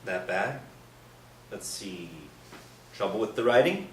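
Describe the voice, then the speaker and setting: An actor in a staged play reading playing a mild, bashful priest, polite and hesitant, gently eager to help. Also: male voice, lowish voice, lively intonation, takes it slowly. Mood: gently helpful. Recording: echoey stage, distant mic, noisy room.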